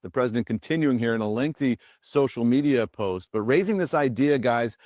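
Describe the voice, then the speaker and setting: A man's voice: medium pitch, expressive, brisk, darkish tone, no room sound, studio quality. A man with an American accent giving a live television news report as a correspondent.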